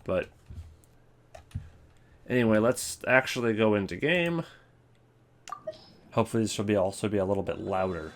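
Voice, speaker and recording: A man's voice: lowish voice, normal speed, pauses often, a little hiss or hum. A man hosting a video with casual, rambling, offhand gaming commentary. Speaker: video host.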